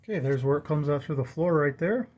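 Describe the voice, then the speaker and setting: A man's voice: a little high, animated, quick pace, a little mellow, a little hiss or hum. A man giving a casual, plainspoken hands-on walkthrough of his own project, informally pointing things out as he goes. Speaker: vlogger showing his truck wiring project.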